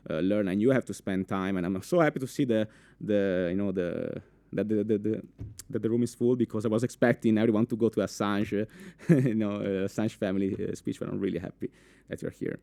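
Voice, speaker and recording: A young man with an Italian accent giving a live conference talk in a full room, animated, with many fillers and restarts, asking the audience questions. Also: fairly low pitch, no echo. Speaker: conference speaker.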